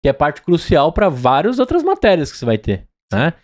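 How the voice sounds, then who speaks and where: A man's voice: a little high, wildly swooping pitch, normal speed, darkish tone. A man from São Paulo with a Paulista accent, hosting a podcast interview and chatting with a lot of tag questions. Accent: Paulista Brazilian Portuguese. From São Paulo, Brazil. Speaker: podcast host. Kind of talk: conversational interview.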